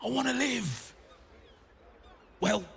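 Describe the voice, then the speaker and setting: An actor voicing a frightened, bitter undead servant in a video game, halting and emotional as he pleads for his life. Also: extremely high pitch, lively intonation, creaky, a bit bright, slightly rough, heavily acted. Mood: anxious warning. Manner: halting, anxious, emotional delivery. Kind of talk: video game dramatic acting.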